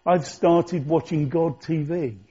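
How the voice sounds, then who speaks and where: A man's voice: fairly high pitch, takes it slowly, warm tone, breathy edge. A man preaching a sermon as pastor, speaking conversationally and reflectively, asking his listeners questions. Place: church. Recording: dull lo-fi church recording.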